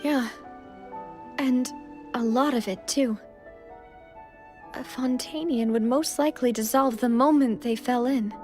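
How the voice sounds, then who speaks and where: A brave young noblewoman in a video game. Her voice is high, polite and determined, the voice of a leader carrying grief. Faint music sits behind her. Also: unhurried, pauses often, a little mellow. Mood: wary.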